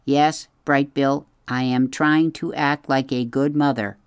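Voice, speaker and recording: A reader playing a calm, formal robot mother. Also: mid-range voice, smooth, no room sound, clean studio sound, voice pushed up. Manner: formal, measured, no contractions.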